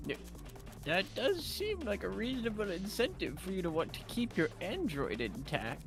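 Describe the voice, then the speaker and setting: A performer voicing a stern courtroom judge who speaks in a halting, formal way, in a fan voice acting of a game. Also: mid-range voice, wildly swooping pitch, over loud music.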